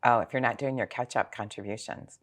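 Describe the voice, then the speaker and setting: A woman's voice: a bass voice, fairly even intonation, brisk. A sixty-year-old woman speaking as a financial planner in an interview, explaining things informally with examples and rhetorical questions. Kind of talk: conversational interview answers.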